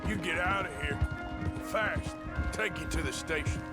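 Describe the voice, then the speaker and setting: An actor playing a weary, gruff outlaw gunslinger in a Western video game, with an American accent and a terse, folksy way of talking. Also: male voice, a little high, measured pace, over loud music, close to an everyday voice. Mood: firm, concerned.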